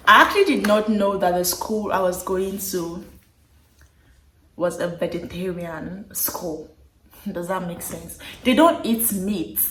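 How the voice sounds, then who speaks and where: A young woman in her twenties from Nigeria, speaking with a Nigerian accent, casually telling a personal story to camera in an animated, emphatic way and repeating words for effect. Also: fairly low pitch, measured pace, a little hiss or hum. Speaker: vlogger. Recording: roomy echo.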